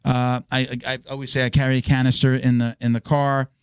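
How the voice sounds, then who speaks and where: A man hosting a podcast in a casual, halting way, reading sponsor spots with a voice he is losing. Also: mid-range voice, little pitch movement, smooth, no room sound, clean studio sound. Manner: halting, restarts his read. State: hoarse, losing his voice. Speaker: podcast host. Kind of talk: casual sponsor read and banter.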